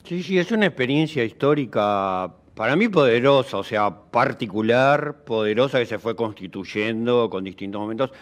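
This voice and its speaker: Reflective Argentine male interviewer, mid-pitched. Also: normal speed, hardly any pauses. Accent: Argentine Rioplatense Spanish. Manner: tentative, searching for words, seeks agreement with tag questions.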